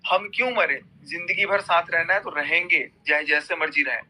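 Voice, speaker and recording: A man's voice: fairly high pitch, normal speed, bright, forceful tone. A man in a heated personal argument on a recorded group phone call, insistent and repeating himself.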